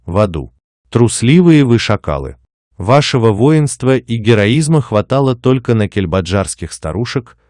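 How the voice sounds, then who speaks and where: A man with a low voice that swings widely in pitch, delivering a contemptuous voice-over. The sound is dry and close to the mic. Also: darkish tone, no room sound. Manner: contemptuous, insulting. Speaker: narrator. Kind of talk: scornful voice-over monologue.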